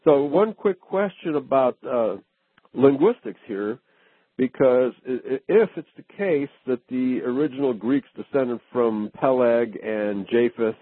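A man's voice: medium pitch, animated, a little slow, breathy edge, no echo. A man hosting a radio talk show, chatting casually and checking in with listeners with frequent "okay?". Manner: asks questions, frequent "okay" and "right" affirmations. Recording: phone-like radio audio.

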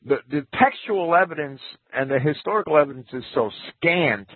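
A man's voice: fairly high pitch, animated, normal speed, breathy edge, no room sound. A man guest on a radio talk show, explaining in a hesitant way with many restarts and careful caveats. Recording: phone-like radio audio.

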